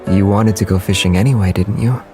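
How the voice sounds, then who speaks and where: A low-pitched young romantic lead from a game, played by a man. He is gentle and quietly confident, with dry, understated teasing. Music plays behind him. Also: fairly even intonation, fairly quick, close to an everyday voice.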